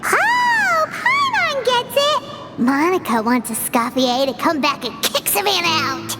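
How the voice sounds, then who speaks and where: A woman voicing a small, excitable fairy companion who talks about herself in the third person. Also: very high voice, takes it slowly, heavily acted. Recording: game audio with loud music.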